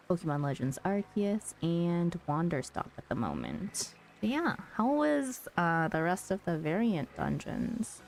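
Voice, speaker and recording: A woman's voice: lowish voice, a little mellow, a little hiss or hum. A woman chatting casually on a live game stream as its host, thinking aloud in a friendly, chatty way.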